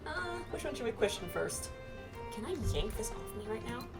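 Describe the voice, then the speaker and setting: A woman's voice: on the high side, lively intonation, a little fast. A woman chatting casually with friends during a game playthrough. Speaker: gamer reading dialogue aloud. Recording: distant mic, game music behind.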